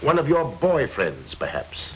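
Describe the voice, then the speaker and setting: A man playing a persistent, wry investigator who narrates in measured, dry 1940s film style. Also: medium pitch, huge pitch swings, a bit bright, a little hiss or hum, rough recording. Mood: calm. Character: dogged middle-aged male investigator, wry and persistent. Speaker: detective narrator.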